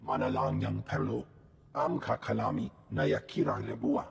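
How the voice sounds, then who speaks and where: A man voicing a gravelly alien who speaks a guttural invented tongue in a video game. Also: lowish voice, steady tone, normal speed, slight background noise. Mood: solemn. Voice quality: gravelly, creaky. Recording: roomy echo.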